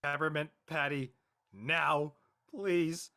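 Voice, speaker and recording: A woman acting an excitable, goofy young friend, loud and exclamatory, in an online drama. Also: sits low, slow, full character voice. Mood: excited, goofy.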